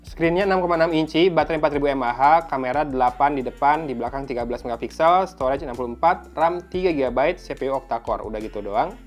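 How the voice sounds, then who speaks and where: A man's voice: mid-range voice, quick pace, few pauses, soft background music. A man with an Indonesian accent giving a casual, conversational gadget review as the host of a tech video. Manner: conversational, informal.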